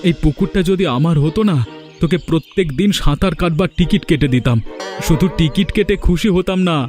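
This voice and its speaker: A man's voice: a little high, a little fast, a little mellow, faint music behind, close to an everyday voice. A man voicing a playful, stingy village friend in a comic cartoon, teasing as he talks. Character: joking, stingy village friend fond of food. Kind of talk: Bengali cartoon comic acting.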